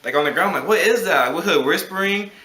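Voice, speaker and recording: A man's voice: high voice, expressive, quick pace, bright, forceful tone. A young man casually telling a story at home, chatty and full of fillers, laughing often. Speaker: co-host. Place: room at home. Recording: echoey room.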